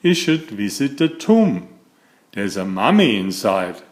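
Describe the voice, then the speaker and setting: A man's voice: fairly low pitch, very animated, takes it slowly. A man voicing a cheerful know-it-all young beaver in a storybook, emphatic and teasing.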